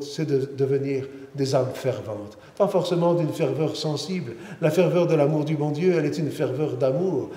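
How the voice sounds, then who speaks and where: A man's voice: fairly high pitch, mellow. A middle-aged man, a priest giving a sermon, speaking earnestly and often restarting and repeating his words. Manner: earnest, many restarts and repetitions. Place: large church interior. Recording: roomy church with long reverb.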